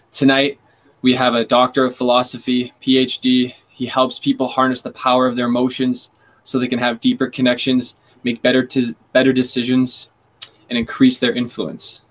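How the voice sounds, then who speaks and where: Flat-voiced male podcast host. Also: medium pitch. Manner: enthusiastic, reads a scripted introduction. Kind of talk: podcast interview hosting.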